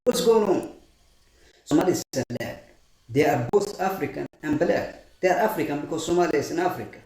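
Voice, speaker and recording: Dude arguing with the camera, calls you bro, mellow slightly high voice, echoey room. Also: male voice. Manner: argumentative, addresses listener as "bro". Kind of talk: casual video rant.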